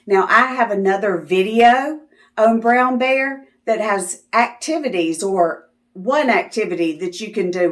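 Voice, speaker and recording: A woman reading a picture book aloud to young children in a sing-song, teacherly way, as the host of children's videos. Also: medium pitch. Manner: teacherly, asking questions and affirming answers.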